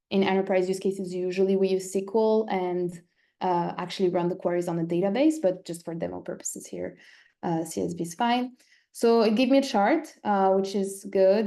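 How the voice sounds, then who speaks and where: A woman's voice: mid-range voice, dark tone. A woman presenting a technical webinar demo, explaining things step by step in a conversational way. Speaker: presenter giving a product demo.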